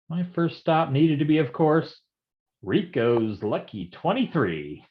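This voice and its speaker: A man's voice: lowish voice, dull audio. An actor playing a wisecracking, down-at-heel noir private detective in a stage reading, with slangy tough-guy talk. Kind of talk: noir stage acting with narrated monologue.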